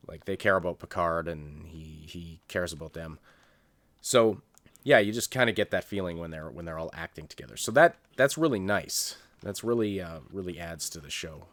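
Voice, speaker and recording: A man's voice: sits low, normal speed, fairly bright tone, dry recording. A man co-hosting a casual, unscripted TV review, thinking aloud in a hesitant, rambling way. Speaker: co-host of a review show.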